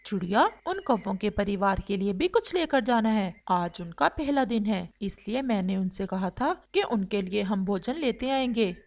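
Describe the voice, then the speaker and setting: A woman's voice: medium pitch, expressive, moderate pace, hardly any pauses, lightly acted. A woman voicing a helpful, generous pigeon neighbour in a cartoon story, friendly and obliging. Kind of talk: Hindi cartoon storybook dialogue.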